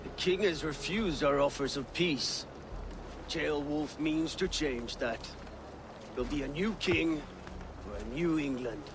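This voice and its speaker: A commanding Viking war leader for a video game. He is blunt and wry, his voice a little high, with clear ambient noise behind it. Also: unhurried. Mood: resolute. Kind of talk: video game cutscene acting.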